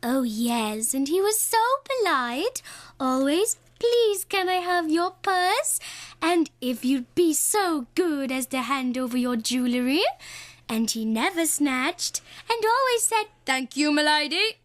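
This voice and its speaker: Young woman as very high, swooning prim lady. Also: expressive, slow pace, no room sound. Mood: dreamy admiring. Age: young adult. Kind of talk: comic radio play.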